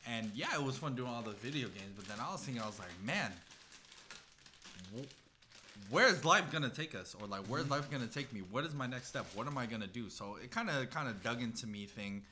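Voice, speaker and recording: A young man talks loosely on a podcast in a bright, sharp voice. He circles back over his points in a rambling, crude way. There is some noise behind him. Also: medium pitch, animated, brisk. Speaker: podcast co-host. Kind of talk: casual podcast chat.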